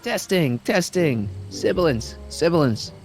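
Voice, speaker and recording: A friendly teenage boy in a video game. The voice is high and boyish, with lively rises and drops. He sounds casual, curious and a little wry. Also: unhurried. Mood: playful. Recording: music under the voice.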